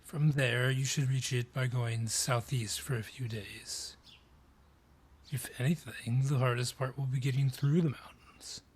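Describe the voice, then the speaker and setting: A man voicing an ancient, gruff dryad forest guardian in a fantasy audio drama, husky, brusque and ominous in delivery. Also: mid-range voice, steady tone. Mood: ominous, wry. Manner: deliberate, brusque, ominous storyteller. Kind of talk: fantasy audio roleplay acting.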